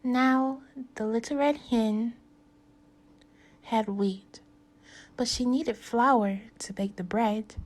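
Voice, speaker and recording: A woman with a fairly high voice reads a children's story as a teacher would. Her delivery is extremely slow and deliberate, with clear pauses between short phrases. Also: fairly even intonation. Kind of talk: animated read-aloud storytelling for young learners.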